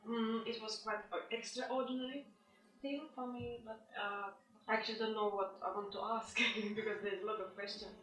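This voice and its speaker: A woman's voice: fairly high pitch, fairly even intonation, moderate pace, a bit bright. A woman in the audience of a cinema Q&A asking a question hesitantly, searching for her words. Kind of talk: question from the floor. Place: cinema hall. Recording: echoey hall from far off.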